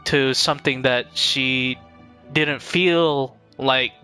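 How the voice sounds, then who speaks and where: Male streamer, mid-pitched, crisp bright tone, casual commentary, halting and repetitive, faint game music. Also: fairly even intonation. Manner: halting, rambling, repeats words.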